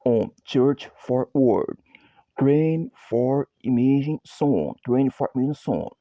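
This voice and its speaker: A Brazilian man with a Portuguese accent speaking halting English in a slow, repetitive, chant-like monologue. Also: medium pitch, animated, mellow, dry recording, lo-fi sound.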